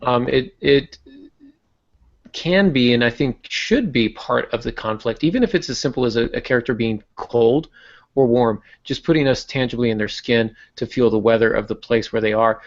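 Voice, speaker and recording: An American man hosting a writing podcast, explaining in a thoughtful, halting, conversational way. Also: mid-range voice, lo-fi sound. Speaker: podcast host and novelist.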